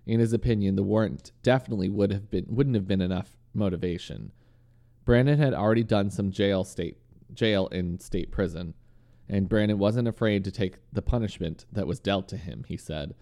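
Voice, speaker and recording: A man's voice: fairly low pitch, moderate pace, vocal fry, mellow. A man with a slight lisp co-hosting a podcast, reading a news story aloud between banter and swearing when flustered. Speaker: podcast co-host.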